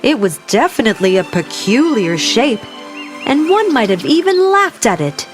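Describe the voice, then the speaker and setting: A woman narrates a fairy tale in an expressive storytelling style. Her voice is fairly high and animated, her pace unhurried, and music plays behind her. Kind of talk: scripted fairy-tale narration for young listeners. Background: clear music.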